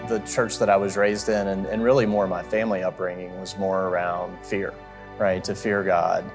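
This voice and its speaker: A man with a very low voice speaks in a flat, even tone. He shares his story softly, pausing often. Guitar music plays clearly underneath. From Central Florida. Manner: soft-spoken, hesitant, many ums. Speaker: church member giving testimony. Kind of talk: testimony interview.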